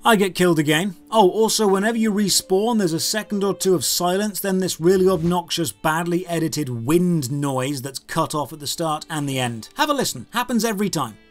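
High-pitched sardonic British man reviewing games. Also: fairly quick, hardly any pauses, soft background music. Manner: sardonic, critical. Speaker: video game reviewer. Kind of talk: scripted review narration. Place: small room lined with game shelves.

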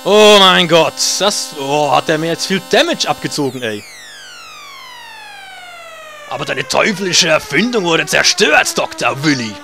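Sarcastic young-sounding gamer man, raised pitch. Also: lively intonation, measured pace, vocal fry, sharp tone. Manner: sarcastic, swears when hit. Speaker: let's play gamer. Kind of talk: casual gameplay commentary. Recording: game music behind voice. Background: faint game music.

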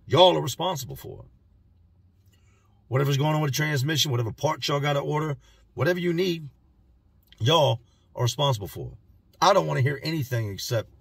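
A raspy man in his thirties talks straight to his phone from the driver's seat of a parked car. His low voice is gravelly and husky, and the car keeps the sound dry and close. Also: dry recording. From Virginia, US. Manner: blunt, plain-spoken street talk. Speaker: vlogger. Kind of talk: casual talking-head vlog. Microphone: phone camera at arm's length.

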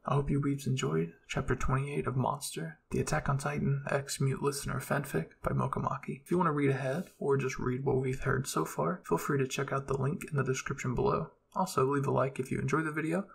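A man's voice: mid-range voice, steady tone, fairly quick, creaky, hardly any pauses, a little hiss or hum. A man reading scripted fan fiction aloud as a storyteller. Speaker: storyteller, channel host.

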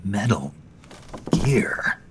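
Gruff breathy video game soldier spy, slow n terse, deadpan, noisy audio. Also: male voice, on the low side, heavily acted. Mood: guarded, curt. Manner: laconic, deadpan. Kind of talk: low-key video game cutscene acting.

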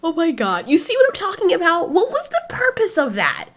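A woman's voice: very high voice, huge pitch swings, a little fast, over loud music. A woman giving casual reaction commentary in a sarcastic, exasperated manner. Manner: sarcastic, exasperated, mildly profane. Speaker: reaction video host.

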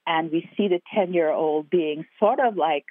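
A woman's voice: low voice, moderate pace, dry recording. A woman from Guyana talks earnestly about her work, stacking up her thoughts in repeated phrases. Her voice comes through a tinny telephone line. The sound is dry and close. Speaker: actor and playwright guest. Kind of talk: interview over the phone.

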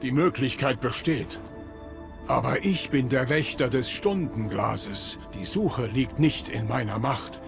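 An actor playing a solemn, loyal guardian of time in video game dialogue, formal, deliberate and grave. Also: male voice, mid-range voice, expressive, creaky. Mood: solemn, measured. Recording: music behind the voice.

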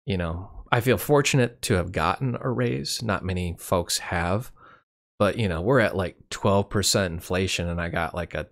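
Hoarse low-voiced man, rambling vlog. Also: moderate pace. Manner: candid, rambling, wry. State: hoarse, losing his voice. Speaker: YouTube channel host. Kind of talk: casual year-in-review monologue.

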